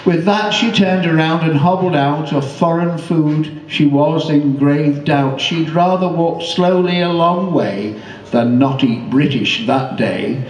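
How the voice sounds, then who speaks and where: A man recites a humorous story in rhyme with measured delivery. His voice sits a little high. The room echoes and the microphone is slightly distant. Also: a little mellow. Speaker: poet performing own work. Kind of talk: comic rhyming poem recital.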